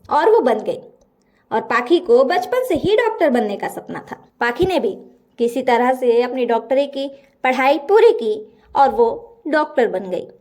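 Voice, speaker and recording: A woman narrates a bedtime story in a high voice. Her pitch moves up and down in a lively, animated way as she reads the scripted tale.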